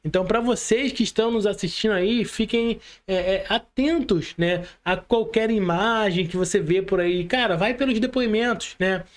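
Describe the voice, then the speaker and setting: A man's voice: high-pitched, normal speed, few pauses. A Brazilian man hosting a casual podcast interview in informal, slangy Portuguese, often checking in with short tag questions. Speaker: podcast host.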